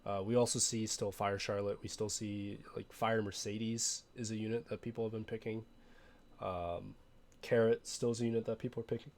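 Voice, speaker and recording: A young man, a little hoarse from being sick, talking casually through his game roster with frequent fillers and restarts. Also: lowish voice, flat delivery, normal speed, darkish tone. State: hoarse from illness. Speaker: game streamer.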